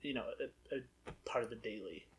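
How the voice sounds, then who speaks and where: A man's voice: lowish voice, animated, fairly quick, low-quality recording. A young man from Ethiopia chatting easily as a talk-show guest, laughing often and saying "you know" a lot. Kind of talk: casual chat.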